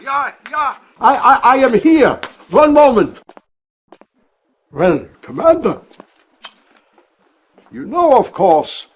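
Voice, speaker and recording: An actor playing an elderly, earnest scientist, speaking haltingly in a game cutscene. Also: male voice, high voice, slightly rough, exaggerated voice. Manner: halting, deliberate, with repeated words. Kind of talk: dramatic game cutscene acting.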